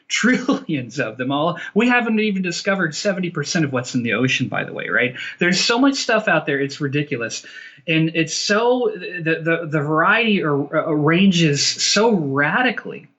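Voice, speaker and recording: A man with a fairly high voice, heard through dull, lo-fi audio like a call. He talks as an interview guest, casual and opinionated, pressing his points emphatically and repeating phrases for stress. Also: bright, forceful tone. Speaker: interview guest, film director.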